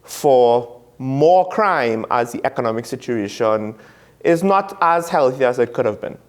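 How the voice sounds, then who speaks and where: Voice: mid-range voice, lively intonation, measured pace. Talk show host asking probing questions. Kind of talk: political talk show interviewing.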